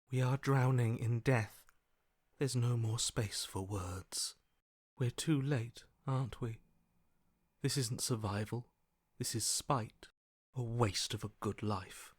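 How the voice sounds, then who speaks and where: An actor playing a wary, primal survivor's inner voice in a video game, speaking in terse, watchful phrases. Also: male voice, medium pitch, husky, dry recording, clean studio sound. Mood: grim, watchful. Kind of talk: video game character voice acting.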